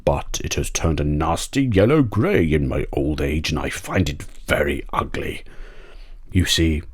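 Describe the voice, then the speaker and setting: A man acts out a grumpy old rhinoceros from a children's storybook. The voice is very low and close, with a dry sound. He drags his words out, aggrieved and full of self-pity. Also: animated, measured pace, breathy edge, no echo, heavily acted. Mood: mournful, self-pitying. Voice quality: deep, angry voice.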